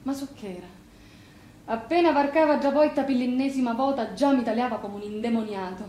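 An actress playing a bitter, defiant battered Sicilian wife in a dialect stage drama, with a Sicilian accent. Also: female voice, a little high, fairly quick, mellow, reverberant, slight background noise, close to an everyday voice, poor audio. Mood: weary, confessional. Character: battered younger Sicilian sister, newly widowed, bitter, defiant.

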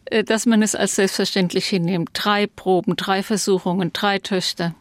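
A woman's voice: mid-range voice, steady tone, moderate pace, no room sound. Hesitant explaining woman, dry recording. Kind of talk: explanatory interview remarks.

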